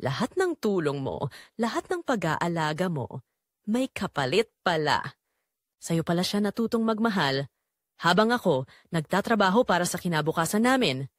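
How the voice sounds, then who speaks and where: A woman's voice: fairly low pitch, very animated, moderate pace, no echo. Female storyteller as a wounded, confronting Filipino wife and daughter in a radio play, very varied intonation, dry sound. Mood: bitter, hurt.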